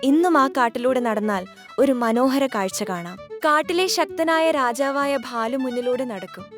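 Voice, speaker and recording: A woman reads out a children's story as its narrator. Her voice is high, and music plays clearly underneath her. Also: measured pace. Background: clear background music.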